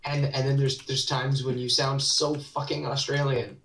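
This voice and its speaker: A man's voice: mid-range voice, little pitch movement, moderate pace, fairly bright tone, recorded from a distance. A man calling out targets and cooldowns, then rambling and laughing with his teammates between fights. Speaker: player on team voice chat. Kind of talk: casual gaming chat with callouts. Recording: roomy and off-mic.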